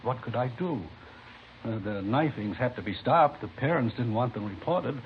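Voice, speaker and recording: An actor playing a worried high school principal with an American accent, earnest and hesitant, in 1950s radio drama. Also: male voice, animated, normal speed, lightly acted. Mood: anxious. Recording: dull, hissy old radio recording.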